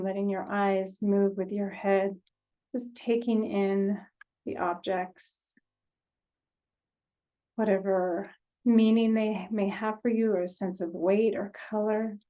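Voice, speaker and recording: A woman guides a meditation at a very slow pace, with long pauses between short phrases. Her voice is relaxed and mellow, and her manner is gentle. The recording sounds slightly dull and muffled. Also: medium pitch.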